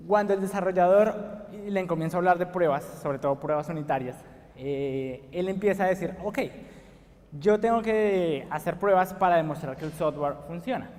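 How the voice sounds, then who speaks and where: A man's voice: a little high, a little mellow. A young man with a Bogotá Colombian accent, giving a conference talk with frequent hesitations and fillers. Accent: Bogotá Colombian Spanish. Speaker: conference speaker. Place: conference hall. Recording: long reverb of a conference hall.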